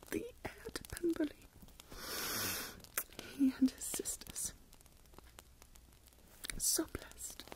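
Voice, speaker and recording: A woman playing a fretful, self-pitying older mother in a period ASMR roleplay, whispering plaintively with melodramatic, drawn-out phrases. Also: sits high, mellow, rough recording. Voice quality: breathy whisper.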